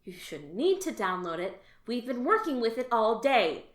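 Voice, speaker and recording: A woman's voice: on the high side, sing-song, normal speed, echoey room. A woman playing a frazzled alien office worker, exasperated and sarcastic. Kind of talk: audio drama.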